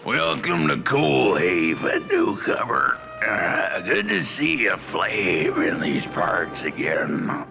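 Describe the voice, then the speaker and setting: An actor voicing a crippled, half-dead old coal miner in a video game, a wry guide with a gravelly, folksy, rambling drawl. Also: male voice, fairly low pitch, big pitch swings, slow pace, few pauses, music in the background, full character voice. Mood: wry welcome. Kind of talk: character acting in a video game.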